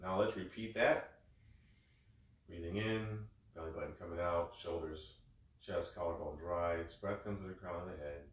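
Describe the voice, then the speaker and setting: A man guiding a breathwork session in a calm, gentle, step-by-step way, a little congested. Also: low-pitched, moderate pace, a little hiss or hum. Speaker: breathwork instructor. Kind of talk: guided breathing instruction. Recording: very echoey room.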